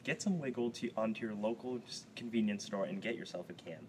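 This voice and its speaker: Guy doing folksy 1920s radio salesman, slangy script, lowish voice, noisy recording. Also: a little fast, darkish tone, big-room sound, lightly acted. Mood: salesmanlike. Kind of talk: 1920s radio advertisement acting.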